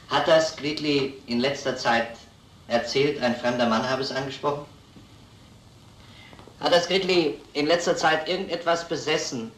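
An actor playing an earnest, methodical police lieutenant, speaking deliberately and plainly in an old crime drama. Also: male voice, medium pitch, a bit bright, natural voice. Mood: probing. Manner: deliberate, plain-spoken, addresses children gravely. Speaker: police investigator. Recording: echoey, noisy old film soundtrack.

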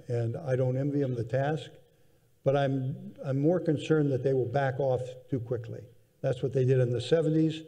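An older man speaking as a guest on a conference panel, measured and thoughtful, often restarting his sentences, seated on a hall stage. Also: medium pitch, vocal fry, warm tone. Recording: reverberant convention hall.

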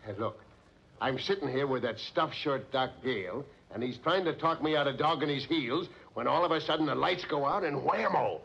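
Raspy, streetwise 1940s cop sidekick. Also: male voice, mid-range voice, moderate pace, a bit bright, muffled recording. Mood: groggy, grumbling. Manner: blunt, streetwise, slangy. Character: slangy, grumbling, tough male police underling. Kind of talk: 1940s radio crime drama acting.

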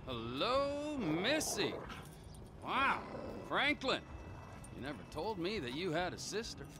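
A man's voice: high-pitched, very animated, slow pace, background noise, heavily acted. A man playing a crude, mocking, volatile middle-aged criminal in a video game cutscene. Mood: mock charm. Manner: erratic, sneering, profane.

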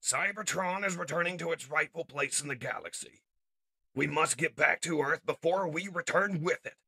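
A man voicing a heroic, wrathful robot commander in slow, dramatic declarations for a comic dub. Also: on the high side, sharp tone, breathy edge, dry recording, pitched above the performer's own voice, full character voice, poor audio. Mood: solemn.

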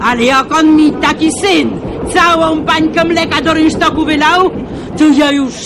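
An actress playing an indignant, coarse market trader with rustic, exclamatory speech in a wartime radio drama. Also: female voice, sits high, fairly even intonation, a little slow. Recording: very noisy, crowded outdoor vehicle soundscape.

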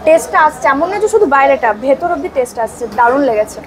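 A woman's voice: high voice, expressive, quick pace. A woman food vlogger, chatting casually and enthusiastically about dishes in a restaurant, repeating her praise. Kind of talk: casual food review chat. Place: busy restaurant. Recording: crowded restaurant noise. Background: crowd chatter.

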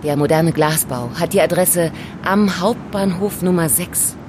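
Casting: actress as elegant young woman informant, very low voice, calm, knowing and teasing, for radio drama; noisy rumbling backdrop. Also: measured pace, lightly acted. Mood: explaining, confident. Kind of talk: German audio drama acting.

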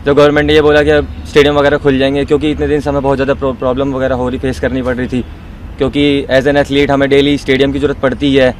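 A man's voice: mid-range voice, monotone, quick pace, slight background noise, poor audio. A young male athlete answering a reporter's questions at an outdoor stadium, eager, mixing English words in. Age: young adult. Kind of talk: street interview. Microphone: handheld reporter mic.

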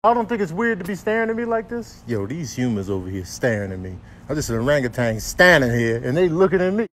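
An American man voicing brash, wisecracking animals in comic video voiceovers, with slangy exclamations and drawn-out words. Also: on the high side, sing-song, a bit bright, a little hiss or hum, pitched below the performer's own voice. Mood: sassy, grumbling.

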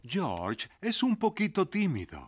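A man's voice: high voice, huge pitch swings, unhurried, slight background noise, full character voice. A man playing a friendly children's cartoon narrator, reading scripted storybook-style lines.

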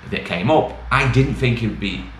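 Fast-talking British man, echoey room. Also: medium pitch, animated. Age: middle-aged. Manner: rambling, matter-of-fact, colloquial. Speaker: used car dealer and vlogger. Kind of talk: casual chatty business update to camera. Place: small bare indoor office. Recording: echoey small room, clear background noise.

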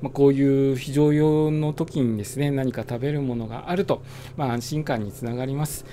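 Relaxed motovlogger riding and chatting. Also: male voice, medium pitch, fairly quick, slight background noise. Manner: relaxed, filler-heavy, explanatory. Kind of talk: casual riding monologue. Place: riding a motorbike on suburban roads.

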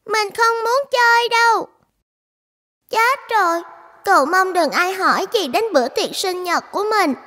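A woman voicing a polite, shy little schoolgirl in a dubbed cartoon, bright and lively. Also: very high voice, measured pace, long reverb tail, heavily acted. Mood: worried. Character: cheerful shy schoolgirl, about ten. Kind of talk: cartoon dubbing.

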